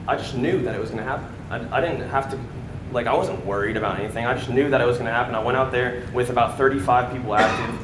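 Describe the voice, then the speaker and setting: A young man from the States tells his success story to a packed event room, talking loosely, filling gaps with "um" and checking in with "right?". His voice sits at an ordinary pitch for a man. It rings around a big, reverberant hall, with a steady murmur of noise underneath. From United States. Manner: casual, many fillers and "right?" tags. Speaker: speaker at a sales event. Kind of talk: motivational testimonial on stage. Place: large event room before an audience. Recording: echoey room with background noise.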